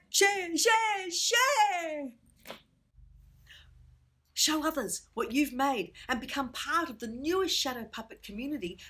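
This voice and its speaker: A woman presenting an instructional workshop for children in a theatrical, expressive storyteller's way. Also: high voice.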